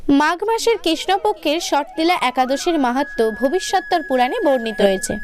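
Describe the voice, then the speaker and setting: A woman reading a scripted religious tale as a storyteller, in a formal, measured way. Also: sits high, expressive. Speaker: devotional storyteller. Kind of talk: scripted religious narration for cartoon video. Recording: music under the voice. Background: background music.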